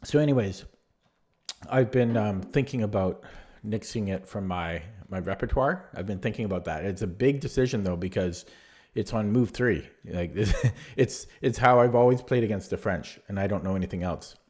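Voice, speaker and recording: A man going over one of his own chess games move by move in a relaxed, rambling way, with a voice that is going hoarse. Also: fairly low pitch, normal speed. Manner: rambling, many fillers and restarts. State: losing his voice. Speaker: chess player reviewing his own game. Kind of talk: casual spoken chess analysis.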